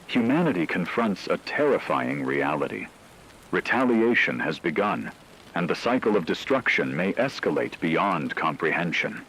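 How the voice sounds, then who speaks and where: A man's voice: deep voice, very animated, moderate pace, fairly bright tone, a little hiss or hum, exaggerated voice, low-quality recording. A man playing a solemn radio anchor, reading a portentous scripted dramatic news report about a catastrophe. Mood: grave, solemn. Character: grave male radio anchor reporting nuclear war.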